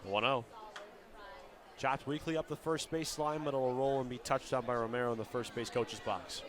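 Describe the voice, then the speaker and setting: A man calling live baseball play-by-play for radio in a fluent, descriptive style that can build to shouts on big plays. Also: mid-range voice, normal speed. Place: outdoor baseball stadium broadcast position. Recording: live ballpark with crowd noise behind. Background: crowd noise.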